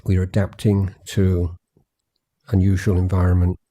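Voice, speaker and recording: Male meditation guide. Low, even pitch, measured and gentle retreat talk, unhurried phrases with long pauses. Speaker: Buddhist meditation teacher.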